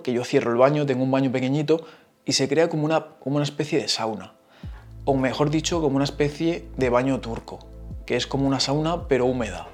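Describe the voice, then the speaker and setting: A man's voice: medium pitch, a little mellow. Chatty Madrid man with faint music. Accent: Madrid Spanish. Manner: chatty, explains with asides and tag questions. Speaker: podcast host. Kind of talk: casual solo podcast talk.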